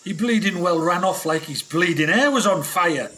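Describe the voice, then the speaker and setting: Man playing a grumbling, hot-headed expedition scientist, sharp-voiced. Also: sits high, animated, moderate pace. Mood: grumbling, sardonic. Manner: gruff complaining, sardonic, mild swearing. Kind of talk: radio play acting.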